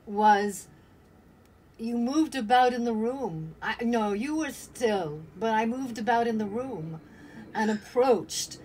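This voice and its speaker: A woman's voice: fairly high pitch, takes it slowly, a little mellow, rough recording. An older woman reflecting casually as an actor in an interview held over a video call. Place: indoor room with cloth backdrop. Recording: faint ambient noise on video call.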